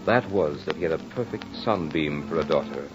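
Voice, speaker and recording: A man's voice: extremely low pitch, lively intonation, normal speed. An actor playing a French nobleman and former soldier in an old radio drama, speaking earnestly in a formal, theatrical way. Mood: tender, ardent. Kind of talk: 1940s radio drama acting. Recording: dull old radio recording with music.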